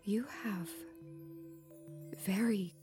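A woman's voice: mid-range voice, extremely slow, music in the background. A woman playing a young, alluring tarot reader in a naturalistic audio drama, with a teasing, mystical manner. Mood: calm, mystical. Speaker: actress.

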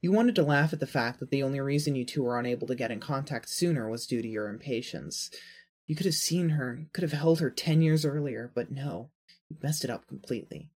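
A narrator reads scripted fiction addressed to "you". The voice is husky and slightly rough at a middle pitch, telling the story in prose. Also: animated, fairly quick, a little mellow.

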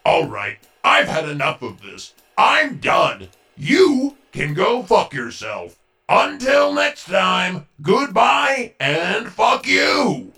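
A man acts out a pompous male announcer for a comedy podcast, drawn out and theatrical. The voice is bright and crackly, set back from the mic with music behind it. He is testy and foul-mouthed. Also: high-pitched, huge pitch swings, vocal fry, far from the mic, full character voice. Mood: fed up. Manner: theatrical, swinging from slow grand delivery to shouting. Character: pompous disembodied show announcer, foul-mouthed and easily exasperated.

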